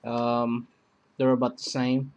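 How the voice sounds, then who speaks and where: A man casually demonstrating and comparing two emergency radios, rambling with many pauses and fillers. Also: mid-range voice, completely flat delivery, darkish tone, smooth. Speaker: product reviewer. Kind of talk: casual product demo.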